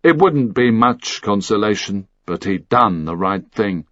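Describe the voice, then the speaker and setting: A man's voice: lowish voice, lively intonation, unhurried, fairly bright tone, no echo, dull audio. A man reading a first-person action thriller as scripted audiobook narration.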